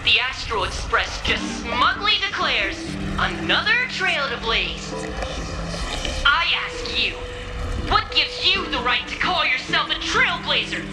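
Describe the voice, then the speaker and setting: A woman's voice: high-pitched, sing-song, takes it slowly, exaggerated voice. A woman voicing a dramatic, accusatory female TV news anchor in a video game, in a theatrical broadcast style. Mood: scornful. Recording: echoey, music behind. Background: game music.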